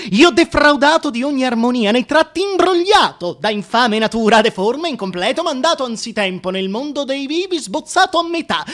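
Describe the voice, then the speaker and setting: An actor playing a bitter, scheming, deformed Shakespearean duke who pities himself, moving between declaimed verse and hushed confession in a radio drama. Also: male voice, high-pitched. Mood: bitter outcry. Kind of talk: theatrical radio drama monologue.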